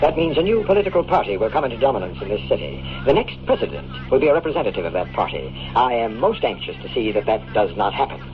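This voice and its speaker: A man's voice: medium pitch, very animated, fast talker, few pauses, natural voice. An actor playing a formal, solemn and mysterious man from the future, speaking precisely in 1950s radio drama style. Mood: solemn, urgent. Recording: muffled, noisy old radio recording.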